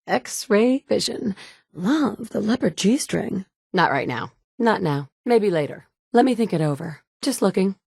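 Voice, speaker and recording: A woman's voice: mid-range voice, expressive, unhurried, gravelly, a little mellow, breathy edge, no room sound, studio quality, full character voice. An actress in her thirties playing a blunt, sardonic mother in a game, with clipped one-liners. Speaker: player character. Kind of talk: video game dialogue lines. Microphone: studio mic.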